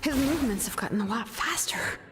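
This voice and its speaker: A woman voicing an earnest, alert young adventurer in a video game with urgent, expressive delivery. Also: fairly high pitch, brisk, music in the background, natural voice.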